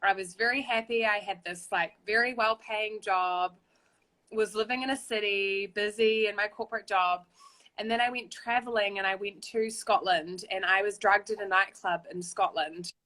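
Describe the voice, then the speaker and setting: A woman's voice: mid-range voice, fairly even intonation, moderate pace, sharp tone, low-quality recording. A woman with a New Zealand accent, chatting as a guest on a video call, upbeat and chatty. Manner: upbeat, chatty, uses "like" a lot. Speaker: guest, spiritual guide. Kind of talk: casual chat on a video call. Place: outdoors in a hammock.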